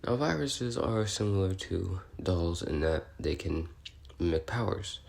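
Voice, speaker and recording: A man's voice: deep voice, lively intonation, a little slow, creaky, darkish tone, full character voice. A man playing an awkward, curious, bookish researcher recording an audio log, explaining in a hesitant, rambling, politely apologetic way. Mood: curious, musing. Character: male researcher logging species notes, awkward, curious, bookish. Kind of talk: in-character audio log, semi-improvised explaining.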